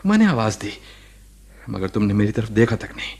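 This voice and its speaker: A husky male voice plays a sick former boss in a radio play. His pitch moves a great deal as he defends himself. He is halting, earnest and self-justifying. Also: sing-song, darkish tone, exaggerated voice. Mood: earnest, explaining. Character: ailing married former boss, defensive and pleading. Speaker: radio drama actor. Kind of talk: Hindi radio play acting.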